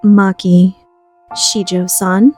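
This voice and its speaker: Woman as aloof rich schoolgirl, composed, formal, medium pitch, faint music. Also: flat delivery, very slow, a little mellow. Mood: cool, aloof. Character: cool, refined, haughty teenage rich girl. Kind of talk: anime fandub radio play acting.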